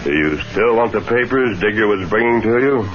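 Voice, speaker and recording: An actor playing a bold, calm masked lawman in a radio Western, with measured, authoritative, formal diction. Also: on the low side, highs cut off, soft background music, close to an everyday voice. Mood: calm, firm. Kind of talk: old-time radio Western acting. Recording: noisy band-limited old radio recording.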